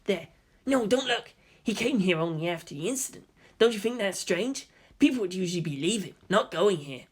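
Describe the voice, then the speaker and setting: A man voicing an excitable, gossipy nerdy schoolboy in an audiobook, eager and animated. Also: mid-range voice, normal speed, breathy edge.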